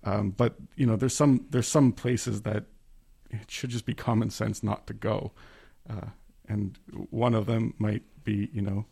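A man's voice: lowish voice, flat delivery, measured pace, vocal fry, dark tone, husky. A man in a casual discussion, talking hesitantly with frequent restarts and self-deprecating asides. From Italian immigrant family background. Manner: hesitant, self-correcting, laughs at himself. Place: remote call.

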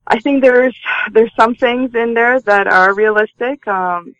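A woman's voice: a little high, unhurried, fairly bright tone. A woman, giving conversational answers as an interview guest. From Romania and Canada. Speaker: interview guest, magazine publisher. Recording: dull lo-fi line, roomy sound.

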